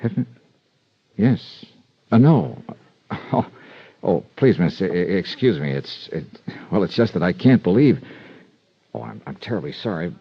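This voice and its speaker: An actor playing a wry, hard-boiled insurance investigator in 1950s radio detective style. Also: male voice, sits low, big pitch swings, slightly rough, no echo, exaggerated voice. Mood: flustered. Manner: brisk, wry, clipped questioning. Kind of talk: 1950s radio detective acting with first-person narration. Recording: dull old radio recording.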